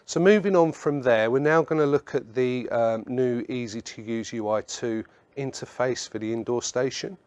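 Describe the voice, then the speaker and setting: A man presenting a product webinar in a measured, instructional way, explaining slides point by point. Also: medium pitch, darkish tone, smooth, dry recording, highs cut off.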